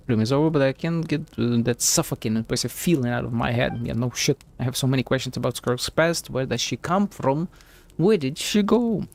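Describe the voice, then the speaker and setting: A male game streamer with a creaky, mid-pitched voice. He gives casual, playful reactions and muttered asides, with faint music behind. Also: darkish tone. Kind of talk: casual live reaction commentary over a game.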